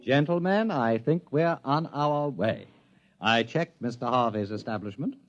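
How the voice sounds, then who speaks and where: A man plays a wry scientist in a 1950s radio play. His medium-pitched voice delivers dry, educated wisecracks. The vintage recording sounds dull. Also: lively intonation, measured pace, loud music behind the voice, close to an everyday voice. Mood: wry, pleased. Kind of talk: 1950s radio drama acting.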